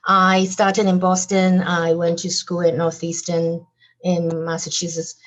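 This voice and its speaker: A woman's voice: on the low side, monotone, unhurried. A woman guest on a podcast interview, talking animatedly about her design business with frequent fillers and light laughs. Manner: laughs lightly as she talks.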